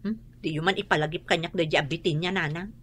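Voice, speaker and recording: A woman's voice: on the low side, fairly even intonation, fast talker, fairly bright tone, a little hiss or hum, natural voice. A woman acting in an Ilocano radio drama as a self-conscious, bitter woman ashamed of her humble life, speaking with an Ilocano accent in a reluctant, complaining way. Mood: bitter, stubborn.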